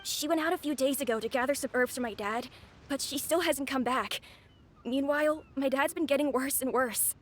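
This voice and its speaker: A woman playing a young boy from an anime game, high and boyish. The character speaks earnestly and politely, with a worried, pleading manner. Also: normal speed, a little hiss or hum, close to an everyday voice.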